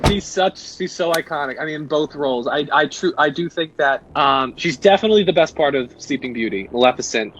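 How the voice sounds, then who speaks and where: Thoughtful young man, slightly high voice. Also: expressive, brisk, hardly any pauses, fairly bright tone, muffled recording, slight background noise. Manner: thoughtful, enthusiastic, hedges with "um" and "I mean". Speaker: guest. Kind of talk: casual chat.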